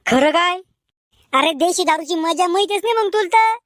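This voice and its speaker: Very high-pitched cartoon village lad voiced by a woman. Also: expressive, moderate pace, fairly bright tone, no echo, studio quality. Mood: bantering. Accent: rural Maharashtrian Marathi. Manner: colloquial, bantering. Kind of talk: cartoon comedy dialogue.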